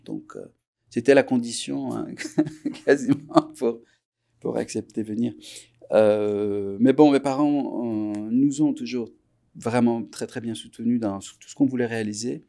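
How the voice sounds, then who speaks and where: Man, 40s, from Iran, Persian native speaker; gravelly, reflective interview guest who pauses to find words. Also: mid-range voice, mellow. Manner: unhurried, reflective, pauses often to search for words. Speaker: musician guest. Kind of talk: relaxed interview recollection.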